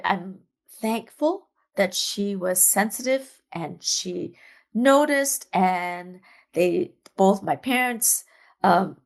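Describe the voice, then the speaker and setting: A middle-aged woman from Singapore, a dance artist talking as an interview guest in a relaxed, chatty way, laughing often and restarting her sentences. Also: mid-range voice. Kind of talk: casual interview chat.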